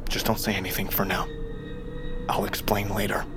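An actor playing an easygoing, teasing young man in a naturalistic fiction podcast, speaking in a playful, drawn-out way. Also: on the low side, little pitch movement, loud music behind the voice, lightly acted. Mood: guarded. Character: easygoing, teasing man playing bartender among trapped crew.

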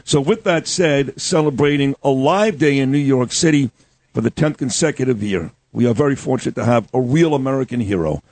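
A man's voice: mid-range voice, lively intonation, a little fast, darkish tone, dry recording. A man hosting a morning show, introducing a guest in a rambling, chatty way. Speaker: radio show host.

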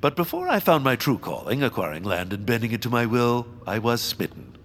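An actor playing a pompous, haughty villainous land baron in a comedic audio drama, theatrical and dismissive. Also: male voice, mid-range voice, big pitch swings, fairly quick, fairly bright tone. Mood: lofty, dismissive.

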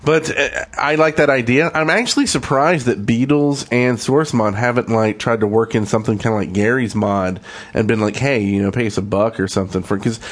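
A man's voice: mid-range voice, brisk. A man co-hosting a podcast with casual, conversational commentary. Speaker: podcast co-host.

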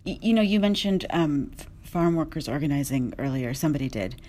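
A woman journalist speaking earnestly on a discussion panel, measured and with small restarts. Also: low-pitched, expressive, a little mellow.

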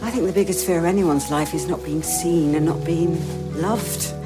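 A woman's voice: lowish voice, moderate pace, loud music behind the voice, natural voice. An actress playing a mild-mannered, middle-aged Indian auntie and loving mother in a TV comedy-drama, tender and reassuring. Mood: earnest.

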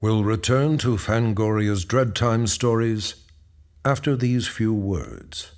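A male announcer for a horror radio show. He reads his script very slowly in a low voice. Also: lively intonation, darkish tone. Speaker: programme announcer.

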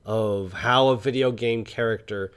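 A man's voice: fairly low pitch, a little slow, clear, resonant tone. Man chatting casually about films. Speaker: podcast co-host and film reviewer. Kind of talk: casual film discussion.

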